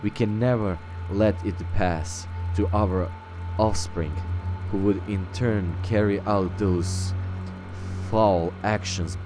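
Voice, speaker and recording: A man's voice: fairly low pitch, fairly even intonation, music in the background. A man hosting a game roleplay, improvising in character and reading game text aloud in a hesitant way. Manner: hesitant, halting. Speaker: gaming video host, roleplaying as the player.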